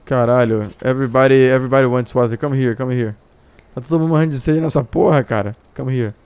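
A Brazilian man with a smooth, resonant voice, chatting with other players during a game. He is playful and teasing, and he switches between Brazilian-accented English and Portuguese. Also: medium pitch, steady tone, quick pace, a little mellow, no echo. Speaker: streamer. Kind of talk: joking multiplayer game chat.

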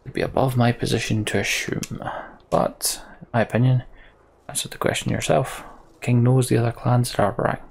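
Guy reading as stern middle aged guard captain, fantasy game, gravelly and formal, bit of noise. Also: medium pitch, flat delivery, lightly acted. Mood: measured counsel. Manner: formal, deliberate.

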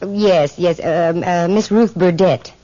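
A woman's voice: fairly low pitch, slow, dark tone. A brash, wry female private eye from a 1950s radio show. She delivers narration and wisecracks with breezy dryness. The vintage recording sounds muffled. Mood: polite, cagey.

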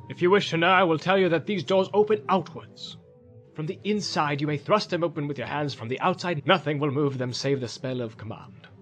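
A man voicing a stern, proud old wizard with a quick temper and a declamatory, commanding delivery in a storybook reading. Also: fairly high pitch.

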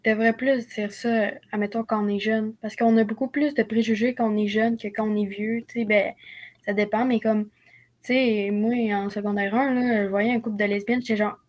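A woman's voice: mid-range voice, fairly even intonation, a little fast. A young woman with a Quebec French accent, telling things in a slangy, casual way on a group video call. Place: indoors at home, on a video call.

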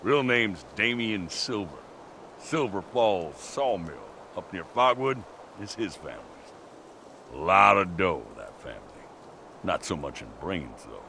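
An actor voicing a rowdy, folksy old biker in his sixties, gruff and gravelly with an American accent, in a video game. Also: deep voice, very animated, unhurried, bright, forceful tone, a little hiss or hum, heavily acted. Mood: gruff, matter-of-fact. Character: wild, gruff old biker, crazed but friendly. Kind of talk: video game cutscene acting.

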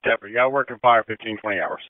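Through a narrow, phone-like radio channel comes a man's low, smooth voice. He is a dispatcher relaying short procedural messages, with a dry sound and no echo. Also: rapid-fire. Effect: two-way radio. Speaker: fire dispatcher. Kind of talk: terse dispatch radio traffic.

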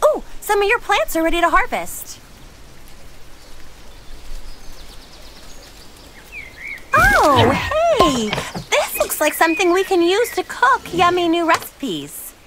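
A cheerful young woman, a friendly doll in a children's game. Her voice is high and very animated, bubbly and encouraging as she gives simple instructions. Clear background noise sits behind her. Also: a little slow, exaggerated voice. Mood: excited, delighted. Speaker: game tutorial guide.

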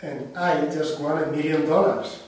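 A man's voice: a little high, steady tone, normal speed, darkish tone, close to an everyday voice. A man with a Spanish accent playing a wisecracking, self-mocking young man in an amateur script reading. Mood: wry. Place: wooden-floored room indoors. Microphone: far camera. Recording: echoey room, distant mic, faint noise.

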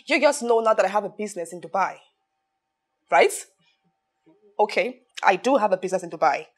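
A woman's voice: medium pitch, expressive, brisk, natural voice. An actress with a Nigerian accent playing a self-pitying, domineering young businesswoman in a film drama, with a dramatic, repetitive, pleading delivery. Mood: tense, explaining. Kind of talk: emotional film drama acting.

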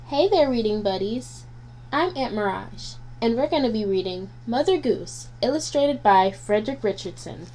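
A woman reading nursery rhymes aloud for children as a guest reader, in a deliberate, sing-song way. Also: on the high side, lively intonation, a little mellow. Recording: noisy home recording with hum.

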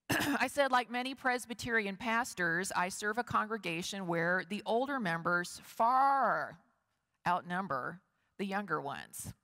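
Middle-aged woman pastor, measured and expressive, average pitch, long church reverb. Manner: measured, expressive reading with slow emphatic pauses. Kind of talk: scripture reading and sermon. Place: large wood-paneled church sanctuary. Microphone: lectern and stand microphone, far camera.

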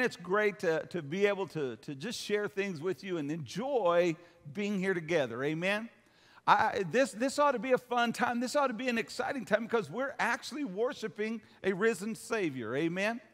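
A man's voice: high voice, fairly bright tone. An older man preaching a sermon to a congregation as a pastor, in a folksy, emphatic manner. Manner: folksy, emphatic, seeks amens from listeners. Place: church hall, speaking from the stage. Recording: long hall reverb.

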